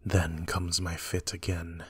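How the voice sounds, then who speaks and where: A man playing a guilt-ridden usurper king in a Shakespearean dramatic reading, with measured, declamatory verse delivery. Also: sits low, monotone, breathy edge, exaggerated voice. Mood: brooding, uneasy. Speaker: amateur actor in a library's dramatic reading.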